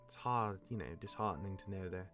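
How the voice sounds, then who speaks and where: An actor playing a cocky, jaded demigod of misfortune mentoring his younger brother in a fantasy drama, smooth-talking and wry, with dramatic turns. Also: low voice, a little fast, a bit bright, soft background music. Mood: casual, boastful. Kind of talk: character acting in fantasy audio drama.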